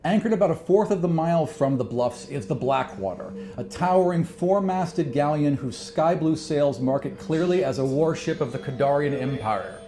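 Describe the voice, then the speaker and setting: A man's voice: a little high, expressive, moderate pace, hardly any pauses, warm tone. A man narrating a tabletop role-playing game as game master, moving between scripted description and conversational, improvised scene-setting with repeated phrases.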